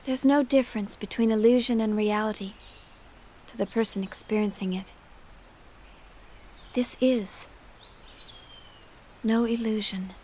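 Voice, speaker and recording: A woman's voice: on the high side, steady tone, unhurried, long pauses, dark tone, a little hiss or hum, lightly acted. A woman playing an earnest, analytical young scientist in a dubbed sci-fi game cutscene. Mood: grave.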